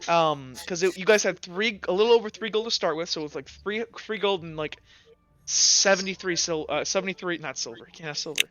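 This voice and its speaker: A man's voice: high voice, fairly quick, fairly bright tone, no room sound, muffled recording. A man acting as game master, casually narrating and improvising a tabletop roleplaying game.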